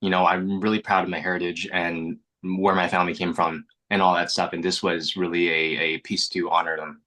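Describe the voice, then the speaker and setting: A man's voice: low voice, level tone, a little fast, bright, forceful tone. A young adult actor from Canada whose first language was Mandarin, talking earnestly and reflectively in an interview, often restarting his words. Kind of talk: casual interview chat.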